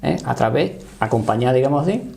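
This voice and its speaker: A man in his sixties with a Spanish accent explains and recounts his experience in a halting, conversational way, often checking he is understood. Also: mid-range voice, lively intonation, gravelly, warm tone. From Spain. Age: 60s. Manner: halting, often seeking confirmation. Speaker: interview guest, paranormal voice researcher.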